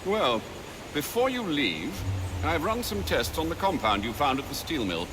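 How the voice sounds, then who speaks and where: An actor playing a dry, proper older butler in a video game, formal, measured and deferential. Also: male voice, fairly high pitch, big pitch swings. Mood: dry, composed. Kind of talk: video game cutscene acting. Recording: clear vehicle and outdoor ambience noise.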